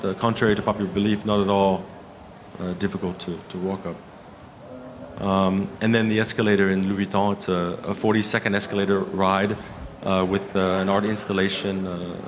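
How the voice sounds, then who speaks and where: A man in his fifties with a low voice presents at a conference. He speaks haltingly, pausing often between phrases. Clear room noise sits behind him. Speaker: keynote speaker, architect. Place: conference hall stage at a lectern.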